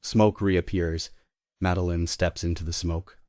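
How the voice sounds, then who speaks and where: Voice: deep voice, flat delivery, a little slow, darkish tone, no room sound, clean studio sound. A narrator reading scripted stage directions at a theatre table read.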